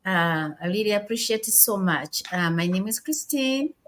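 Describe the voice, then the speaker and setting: A woman's voice: mid-range voice, lively intonation, moderate pace. Polite female guest from Uganda, plain voice. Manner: polite, grateful. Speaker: guest, accountant.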